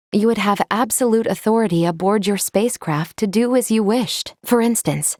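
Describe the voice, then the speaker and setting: An actress playing a calm, analytical android companion in a video game, gently caring, with formal, precise diction. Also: female voice, medium pitch, no echo, studio quality, lightly acted. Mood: composed, matter-of-fact. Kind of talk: video game character dialogue.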